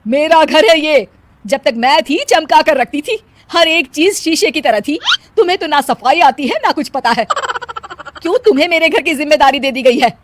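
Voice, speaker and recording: Woman voicing a high, scolding ghostly mother-in-law. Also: normal speed, background noise, lightly acted. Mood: scolding, disdainful. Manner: scolding, taunting. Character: bossy, hot-tempered mother-in-law ghost in a cartoon.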